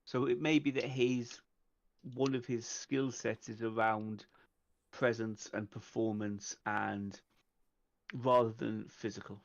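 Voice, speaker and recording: A man's voice: mid-range voice, fairly even intonation, takes it slowly, gravelly. A man playing a tabletop roleplaying game, talking tactics casually with the group, with slightly muffled sound.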